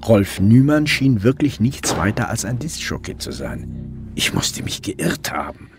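Deep-voiced brooding detective narrator. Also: male voice, sing-song, unhurried, vocal fry, exaggerated voice. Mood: tense, measured. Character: brooding middle-aged male police detective narrating his case. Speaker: narrator and lead investigator. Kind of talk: German crime radio drama narration. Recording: music bed under voice. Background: music.

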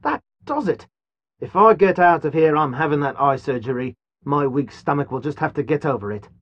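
A man's voice: fairly high pitch, lively intonation, normal speed, no echo, lo-fi sound. An actor voicing a flippant, nearsighted, hungry Englishman trapped underground in a video game, with a wry, wordy manner. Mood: exasperated. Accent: British. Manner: wry, wordy, posh turns of phrase. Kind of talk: video game character dialogue.

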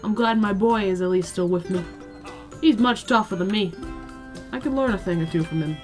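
A woman's voice: medium pitch, moderate pace, a little mellow, faint music behind, natural voice. A woman voicing a strong, grieving village father in a game, gloomy but proud. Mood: gruff, wistful.

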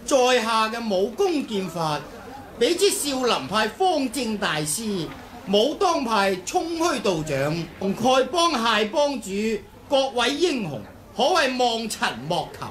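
An actor with a Hong Kong Cantonese accent playing a polite, guarded middle-aged swordmaster in an old radio drama, measured and self-effacing. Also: male voice, extremely high pitch, very animated, a bit bright, exaggerated voice. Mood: guarded courtesy. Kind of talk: 1980s radio drama acting.

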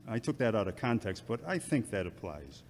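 A man playing a sober, counselling church deacon with a measured, instructive manner in an amateur audio drama. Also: fairly low pitch, sing-song. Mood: sober, advising. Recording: reverberant, faint background ambient noise.

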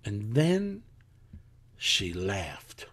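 A man playing a plain-spoken rural farmer in a live audio drama, telling his story in a folksy, halting way. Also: fairly low pitch, sing-song, fairly bright tone, heavily acted. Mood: unsettled.

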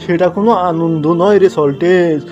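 A man plays a moralizing cartoon schoolteacher with a high voice and a Bogura accent from northern Bangladesh. He scolds with sarcasm and pointed rhetorical questions, over faint music. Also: normal speed, mellow, lo-fi sound, lightly acted. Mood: stern, preachy. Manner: mock agreement, rhetorical questions, preachy. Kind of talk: comic cartoon dialogue turning into sermon.

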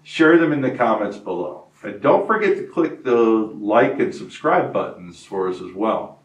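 A man in his fifties hosting a hobby farm channel, reading a scripted breed spotlight in a steady, informative way. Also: on the low side, a little slow, roomy sound, recorded from a distance. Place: indoor studio set before a wooden barn-wall backdrop. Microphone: lapel mic, medium camera framing.

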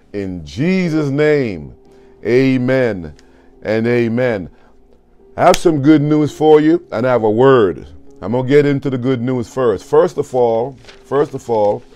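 A man in his fifties preaches to an online congregation from a desk webcam, and his voice is strong and loud, with a dark, mellow tone. He talks with exuberance, waving his hands and calling out to the people watching. Faint background music plays behind him. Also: mid-range voice. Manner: exuberant, gesturing and calling out to viewers. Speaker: pastor. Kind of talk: online church livestream sermon. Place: home studio with city backdrop.

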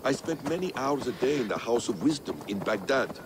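An actor playing a worldly, thoughtful warrior-scholar in a video game, with a measured, eloquent, poetic delivery. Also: male voice, mid-range voice, lively intonation, background noise, lightly acted. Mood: nostalgic, learned. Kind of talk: dramatic video game acting.

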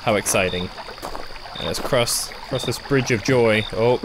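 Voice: mid-range voice, unhurried, background noise. A jumpy, sarcastic gaming YouTuber commentating on a horror game playthrough and reading on-screen text aloud. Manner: jumpy, sarcastic, gasps and cries out when startled.

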